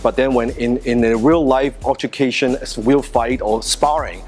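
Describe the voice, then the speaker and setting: A man's voice: mid-range voice, fairly even intonation, normal speed, darkish tone, soft background music. Earnest man teaching self-defense. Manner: earnest, conversational, with restarts and repetitions. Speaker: martial arts instructor.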